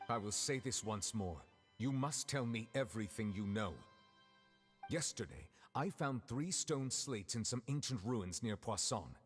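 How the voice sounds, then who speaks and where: A man voicing a stern, coolly probing chief judge in a video game, with a measured, formal and grave manner. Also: medium pitch, animated, lightly acted. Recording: long hall reverb, music underneath. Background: game music.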